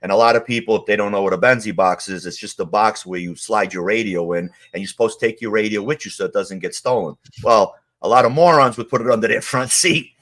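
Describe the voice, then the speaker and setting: A man's voice: on the low side, fast, few pauses, a bit bright. Streetwise middle-aged New man. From Queens, New York. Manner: rambling, streetwise, many "you know"s. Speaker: interview guest. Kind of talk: casual storytelling.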